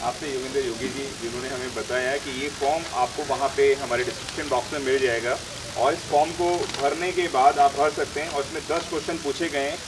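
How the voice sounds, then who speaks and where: A man's voice: fairly even intonation, fairly quick. A man, presenting and interviewing on camera with a handheld microphone. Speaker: news channel host and interviewer. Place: outdoors in front of banners. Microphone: handheld news microphone. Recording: noisy outdoor sound, vehicle rumble, hiss.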